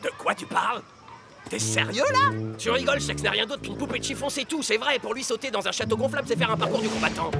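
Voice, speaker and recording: Gravelly, high-pitched grumbling young defender. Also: male voice, expressive, quick pace, over loud music, full character voice. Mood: scornful. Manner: blunt, colloquial. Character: skeptical, grumbling young male soccer defender. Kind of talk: French cartoon dub.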